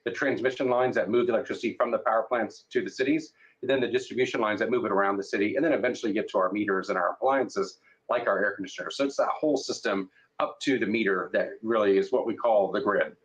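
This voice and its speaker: Fast-talking, lowish male expert, dull audio. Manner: methodical, step by step. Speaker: expert interviewee. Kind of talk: plain-language explanation in an interview.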